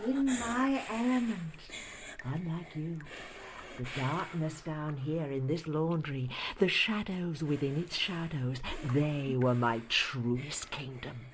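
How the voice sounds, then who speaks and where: An actress in a horror audio play, playing a cruel, gloating old noblewoman murderess with a theatrical, sinister delivery that drops into hisses. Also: low-pitched. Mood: taunting, sinister. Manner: theatrical, sinister, drawn-out, dropping to hissed whispers.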